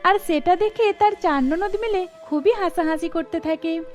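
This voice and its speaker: A woman's voice: very high voice, normal speed, darkish tone. A woman storyteller reading scripted narration for a cartoon, with music underneath.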